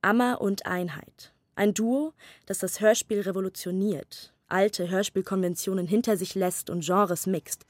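A woman's voice: mid-range voice, steady tone, dry recording. Polished woman narrating a radio feature. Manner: measured, polished delivery.

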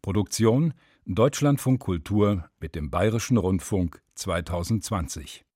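A man reading scripted closing credits as a radio announcer, in a measured, formal delivery. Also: deep voice, expressive, mellow, husky, dry recording, clean studio sound. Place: dry studio.

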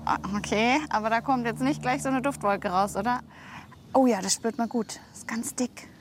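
A woman with a fairly high voice gives spontaneous commentary for a kids' wildlife show. She sounds curious, expressive and easily excited. There is faint outdoor noise around her. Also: normal speed. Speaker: reporter for a children's wildlife show. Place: on location, outdoors.

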